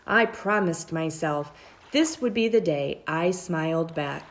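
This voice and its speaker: A woman reads a children's story aloud in a measured, expressive storytelling style, drawing out her phrases. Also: low voice. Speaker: storybook reader.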